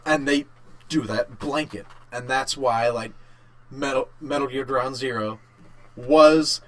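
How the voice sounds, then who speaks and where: Male podcast co-host, average pitch, informal and halting, explains things in short conversational bursts. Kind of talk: casual chat about games and work.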